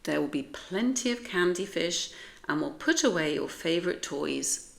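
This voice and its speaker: A woman's voice: on the low side, animated, moderate pace, gravelly, a bit bright. A British woman voicing a cheerful mother cat, kindly but firm, chivvying and reassuring in a storybook.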